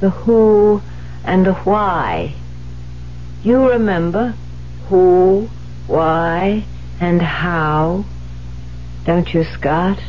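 An actress in a 1970s radio drama playing a cold, unhinged woman writer who killed her husband, speaking deliberately and dramatically. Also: on the low side. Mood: insistent. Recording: dull, noisy 1970s radio recording with hum.

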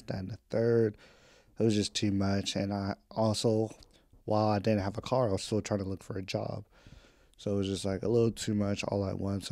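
A man's voice: fairly low pitch, warm tone, no room sound, clean studio sound. A man in his twenties casually catching up with his audience, rambling and candid, with slang and the odd swear. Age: 20s. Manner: rambling, candid, drifts between topics. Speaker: vlogger. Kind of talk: casual catch-up podcast talk. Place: home.

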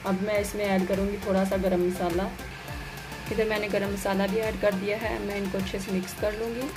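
A woman's voice: mid-range voice, little pitch movement, a little fast. A woman gives a plain, instructional step-by-step recipe voice-over as a home cooking host. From Pakistan. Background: music behind the voice.

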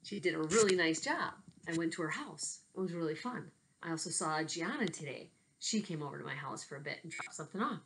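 Female, 40s, US, slightly low register. Chatty, expressive elementary teacher for casual kids' read-aloud videos. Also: brisk, slight background noise, low-quality recording. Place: small bedroom at home, indoors. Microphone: laptop webcam at desk distance.

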